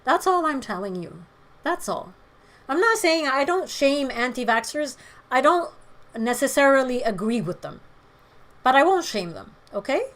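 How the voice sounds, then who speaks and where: A woman with a hoarse voice, chatting frankly with viewers during a livestream and giving her opinions with many pauses. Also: high voice, big pitch swings, a bit bright. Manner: frank, opinionated, hesitant fillers. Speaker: livestream host. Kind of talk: casual chat answering viewer comments.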